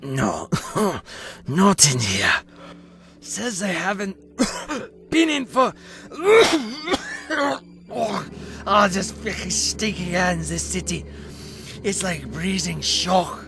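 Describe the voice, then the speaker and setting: An actor playing a grumbling, wry soldier companion in a fantasy audio drama. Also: male voice, high-pitched, animated, extremely slow, vocal fry, pauses often, heavily acted. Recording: loud music behind the voice.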